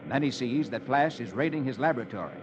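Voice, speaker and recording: An actor playing a jolly comics-reading friend to children, in a theatrical 1950s radio storytelling style. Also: male voice, mid-range voice, quick pace, heavy background noise, close to an everyday voice. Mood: dramatic storytelling. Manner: jolly, animated. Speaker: radio host and comics reader. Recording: lo-fi old radio, loud music behind.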